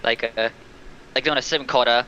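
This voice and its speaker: Hesitant man, bright forceful voice, dull mic. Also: mid-range voice, steady tone, quick pace. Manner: hesitant, heavy use of filler words. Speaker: stream participant. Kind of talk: casual discussion on a livestream. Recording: dull lo-fi mic, faint noise.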